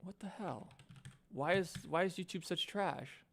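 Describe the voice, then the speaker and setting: A man with a New York accent chatting casually as he works on animation, animated, joking and prone to swearing. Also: high-pitched, unhurried, creaky, a little hiss or hum. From Queens, New York. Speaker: streamer and animator. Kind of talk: casual reacting and chat while working.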